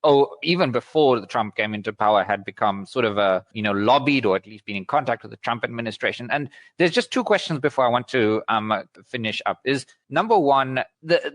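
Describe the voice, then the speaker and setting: A man's voice: medium pitch, a bit bright, no echo. Dry-sounding man hosting an interview. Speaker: interviewer, podcast host. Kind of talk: conversational interview questioning.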